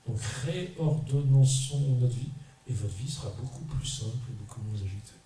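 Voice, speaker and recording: A man from France, a business leader, giving an earnest, deliberate testimony at a conference and urging his listeners. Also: mid-range voice, lively intonation, dark tone. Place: large echoey parish hall. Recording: echoey hall, mic far off, faint noise.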